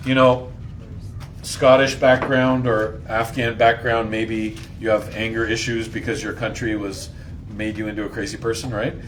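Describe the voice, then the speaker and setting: A man hosts an informal Bible study in a slangy, joking style. He quizzes his listeners and acts out lines with gusto. There is clear background noise behind his voice. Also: mid-range voice. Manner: slangy and jokey, acting out lines loudly. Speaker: Bible study host and teacher.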